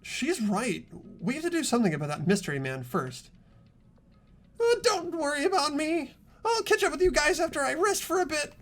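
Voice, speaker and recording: A man's voice: very high voice, sing-song, moderate pace, a bit bright, soft background music, full character voice. A man playing an earnest, anxious, kindly teenage boy protagonist in a fan game dub, with a hesitant manner. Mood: worried, then weak, pained. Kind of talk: amateur game voice acting and narration.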